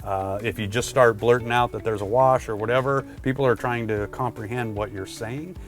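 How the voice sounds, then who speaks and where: A man's voice: mid-range voice, fairly even intonation, normal speed, faint music behind. A man presenting product tips in a casual, conversational instructional style, talking directly to the viewer.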